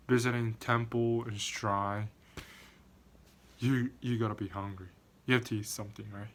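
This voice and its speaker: A man's voice: mid-range voice, fairly bright tone. A young man raised in Japan, a non-native English speaker, giving a practice informative speech to his class with many restarts and fillers. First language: Korean or Japanese. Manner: halting, frequent restarts and fillers. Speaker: student.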